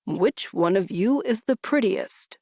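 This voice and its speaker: A woman's voice: medium pitch, expressive, takes it slowly, warm tone, no echo, studio quality. A woman voicing a very old man in a storybook, kindly, earnest and easily charmed, speaking with simple wonder. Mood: helpful, kindly.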